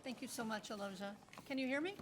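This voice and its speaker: An older woman, a retired library director with a soft voice, giving a gracious thank-you speech at a live event. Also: on the high side, fast talker, noisy background. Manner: gracious, grateful. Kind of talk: ceremony speech. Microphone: PA microphone.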